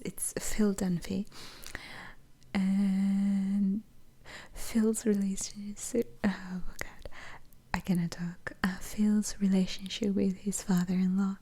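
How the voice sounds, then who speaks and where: A woman's voice: medium pitch, mellow, husky. A woman whispering close to the mic in a casual ASMR chat, giggling often and rambling with fillers.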